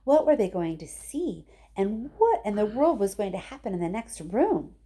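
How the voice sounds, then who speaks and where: Woman, storybook read-aloud, very lively intonation, mellow tone, expressive narration. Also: medium pitch, normal speed, slightly rough. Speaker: storybook reader, teacher.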